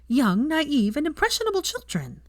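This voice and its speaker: A woman's voice: high-pitched, huge pitch swings, normal speed, pitched above the performer's own voice. A woman voicing a prickly, indignant young witch who teaches school, in audiobook dialogue. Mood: snappish. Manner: snappish, indignant.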